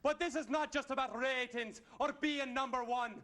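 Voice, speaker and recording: An actor playing a hot-tempered Scottish warrior rebel in a comedy sketch, declaiming theatrically with a Scottish accent. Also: male voice, extremely high pitch, steady tone, moderate pace, bright, forceful tone. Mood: fierce. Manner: declamatory, theatrical, swinging into bellows. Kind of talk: mock-heroic rallying speech in live sketch comedy.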